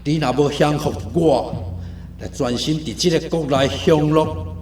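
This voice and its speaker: A man's voice: fairly high pitch, unhurried, a little mellow, close to an everyday voice. Male actor as sly domineering tempter, Taiwanese accent, theatrical coaxing, radio drama, roomy echo, faint music. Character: tempting gambling-den tout and domineering dark master.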